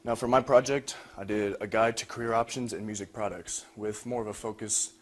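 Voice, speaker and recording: A man's voice: on the low side, fairly even intonation, a little fast. A young man giving an informal project talk as a student presenter. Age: young adult. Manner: frequent throat clearing. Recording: noisy room recording.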